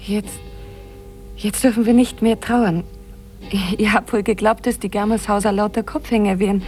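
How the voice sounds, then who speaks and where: An actress playing a mysterious young village girl, wistful yet lively, in a 1950s German radio drama. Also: mid-range voice, moderate pace, faint music behind, close to an everyday voice. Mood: brightening.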